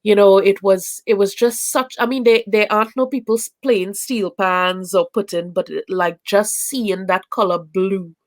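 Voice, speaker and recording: A woman's voice: mid-range voice, steady tone, moderate pace, few pauses. A woman from Trinidad, a young adult and a traveling parent, chats as an interview guest, full of fillers and restarts. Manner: chatty, many fillers and restarts. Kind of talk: casual video chat. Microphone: video call.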